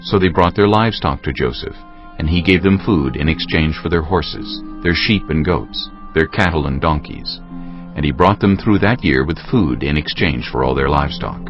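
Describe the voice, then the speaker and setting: A man narrating scripture as a dramatized audiobook in a measured, solemn storytelling manner. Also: a bass voice, muffled recording. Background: clear music.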